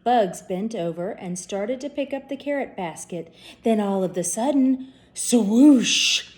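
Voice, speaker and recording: Slow, expressive woman reading to kids. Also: on the high side. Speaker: P.E. teacher. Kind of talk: children's storybook read-aloud.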